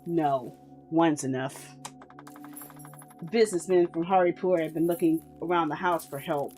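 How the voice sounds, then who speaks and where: A woman voicing a cruel male slaver who speaks in a low, quiet, taunting drawl. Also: a little slow, soft background music. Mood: menacing.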